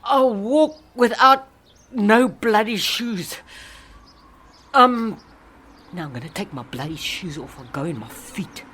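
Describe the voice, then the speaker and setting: A woman's voice: mid-range voice, lively intonation, takes it slowly, pauses often, fairly bright tone, breathy edge, exaggerated voice. An actress playing an ageing, down-and-out South African woman, feisty and lonely, rambling and muttering to herself in a radio play. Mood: grumbling, defiant. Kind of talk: dramatic monologue in a radio play.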